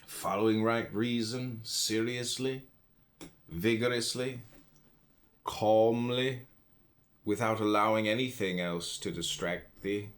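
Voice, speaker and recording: A man reading an old philosophy book aloud in measured phrases, pausing between them. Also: fairly low pitch, fairly even intonation. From United States. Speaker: audiobook reader.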